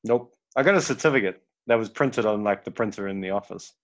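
A man's voice: on the low side, fairly quick. A man with a South African English accent, co-hosting a casual chat with dry, joking banter. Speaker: podcast co-host.

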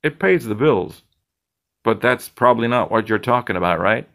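A man's voice: medium pitch, animated, moderate pace, fairly bright tone. A man voicing a slick, blunt male bartender who talks in a teasing, lecturing way.

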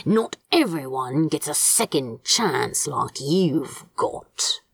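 A woman's voice: low voice, expressive, takes it slowly, creaky, breathy edge, no echo, pitched below the performer's own voice, exaggerated voice. A woman voicing a scornful, menacing male demon who growls and grumbles as he scolds, in a story reading. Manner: sneering, growling, exasperated.

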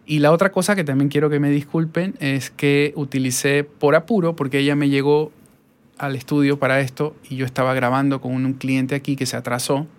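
A man's voice: mid-range voice, steady tone, fairly quick. A man from Ecuador with a Guayaquil accent, hosting a casual interview podcast from his recording studio and chatting enthusiastically with fillers. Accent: Guayaquil Spanish. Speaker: podcast host and voice-over artist. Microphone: portable recorder.

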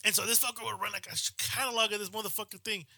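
A man's voice: high-pitched, fairly even intonation, racing, gravelly, sharp tone, dry recording. A man co-hosting a podcast in casual banter, laughing often, swearing, and acting out the people in his stories. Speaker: podcast co-host.

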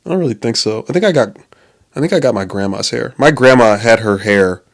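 A man's voice: mid-range voice, a little fast, vocal fry. A man in his late twenties talking in a casual, rambling monologue, swearing often, restarting his sentences and laughing at himself. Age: 20s. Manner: profane, self-interrupting, laughs often.

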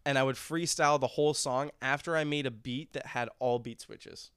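Young man, musician guest, casual rambling chat, smooth voice, dry close mic. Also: medium pitch, steady tone, moderate pace, fairly bright tone, no echo. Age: young adult. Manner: laid-back, rambling, laughs often.

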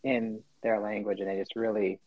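A man's voice: lowish voice, little pitch movement, moderate pace, no echo. A man working as a missionary, speaking earnestly and steadily in casual conversation. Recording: dull dry recording.

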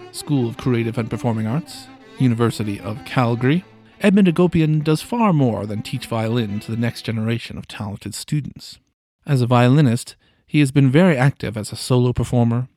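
A male podcast host narrates in a measured, storytelling way. His voice is mellow and warm, recorded dry and close, with faint music playing underneath. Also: medium pitch, dry recording. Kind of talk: scripted narration and interview questions.

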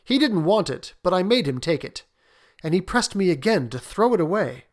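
Man putting on an eager, high-pitched old father. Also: big pitch swings, normal speed. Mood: sheepish. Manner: inquisitive, keen. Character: eager, curious old father, credulous and stubborn. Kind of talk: dramatic storybook reading.

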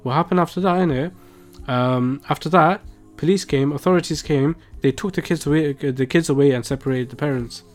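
A man's voice: fairly high pitch, brisk, soft background music. A young British man chatting casually as a podcast co-host, with a slangy, emphatic way of talking.

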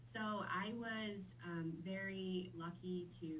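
A woman's voice: mid-range voice, steady tone, slow, fairly bright tone, far from the mic, a little hiss or hum, rough recording. A woman in her twenties, born in Vietnam, chatting easily as a podcast guest with plenty of fillers. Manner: friendly, easygoing, many fillers. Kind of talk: casual interview chat.

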